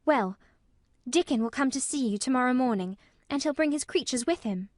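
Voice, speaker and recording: A woman's voice: high-pitched, animated, moderate pace, no echo, clean studio sound, voice pushed up. A woman voicing an earnest, blunt young girl in a storybook, speaking frankly and emphatically. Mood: solemn excitement. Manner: frank, matter-of-fact, emphatic. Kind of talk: acted storybook dialogue.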